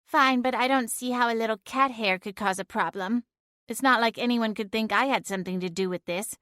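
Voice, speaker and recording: A woman voicing a sassy female talking cat with a wry, quick, teasing delivery, heard in a storybook reading. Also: on the high side, sharp tone, no room sound, clean studio sound.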